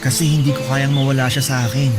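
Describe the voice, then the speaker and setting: A man's voice: mid-range voice, level tone, fast, lightly acted. An actor playing a heartbroken, confused young man who talks in a pleading, distraught way in a radio drama, with music behind.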